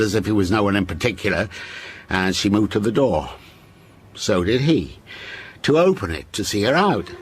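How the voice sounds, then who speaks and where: An actor playing a menacing, obsessive man who tells a ghost story to a captive listener in a radio drama, insistent and intimate. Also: fairly low pitch.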